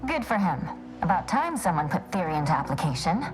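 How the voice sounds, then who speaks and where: A blunt, sardonic female scholar in a video game. Her voice sits slightly low, argumentative in manner, with music playing clearly behind it. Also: big pitch swings, fairly quick, rough recording. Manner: sarcastic, blunt. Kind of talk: video game dialogue acting.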